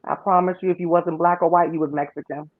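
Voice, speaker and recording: A woman co-hosting a casual chat, animated and colloquial. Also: on the low side, fast, no room sound. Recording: dull lo-fi audio.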